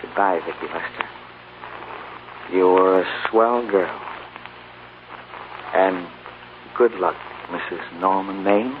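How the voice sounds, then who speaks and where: An actor playing an earnest, fond Hollywood studio boss in a 1940s radio drama, speaking emphatically. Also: male voice, sits low, slow pace, long pauses. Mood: resigned, fond. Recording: noisy, tinny 1940s radio broadcast.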